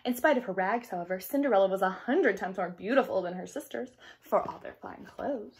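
A young woman reading a picture book aloud to children in an expressive storytelling style, pausing for explanatory asides. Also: mid-range voice, a little hiss or hum. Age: young adult. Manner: animated, clearly paced storytelling. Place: small indoor room.

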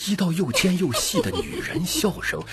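A man with a gravelly, husky voice narrating an audiobook story expressively. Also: high-pitched, huge pitch swings, moderate pace, background noise.

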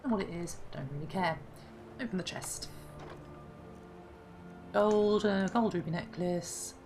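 Low-voiced woman gamer, slightly hoarse. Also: measured pace, darkish tone. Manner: chatty, thinking aloud. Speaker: gaming channel host. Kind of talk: casual let's-play commentary. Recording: clear game music behind.

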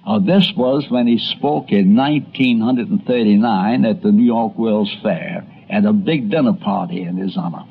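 A man's voice: lowish voice, moderate pace, gravelly, hardly any pauses, slightly rough. A man narrating a documentary in a conversational, storytelling way. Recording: dull vintage audio with music behind.

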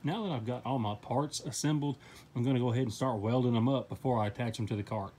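A man hosting a DIY welding channel, calmly narrating his workshop build step by step with a few fillers, recorded outdoors in a backyard. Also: mid-range voice, fast, slight background noise. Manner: plain, practical step-by-step explaining with fillers.

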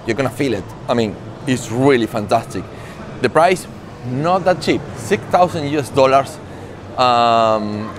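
Guy in his 40s doing casual tech vlog review, into it, mid pitch, loud-ish expo hall noise behind him. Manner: enthusiastic, conversational. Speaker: tech vlogger and presenter. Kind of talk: casual product review to camera. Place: large indoor trade show hall. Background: expo hall ambience.

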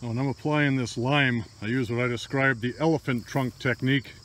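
A man's voice: medium pitch, fairly even intonation, brisk, a bit bright. An older man from Michigan giving plain, practical how-to narration while walking a rural field with a phone camera. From Michigan, USA. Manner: plain, practical, matter-of-fact explaining. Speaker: DIY hunting vlogger. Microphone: phone camera held while walking. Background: crickets and insects.

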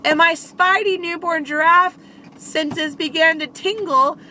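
A woman's voice: very high voice, a little slow, pushed and bright. A young woman playfully improvising a bedtime story in a silly, giggly way, recorded inside a car. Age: young adult. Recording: noisy car interior, vehicle ambience.

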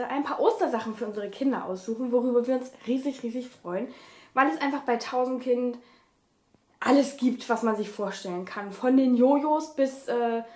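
High-pitched young woman, echoey room. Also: a little mellow. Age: 20s. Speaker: family vlogger, mother. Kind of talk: casual vlog talk to camera. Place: small furnished living room, indoors.

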